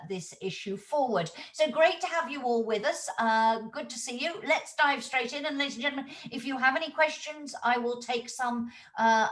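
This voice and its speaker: A woman's voice: a little high, fairly bright tone. A woman moderating a conference panel, fluent and polished as she introduces speakers.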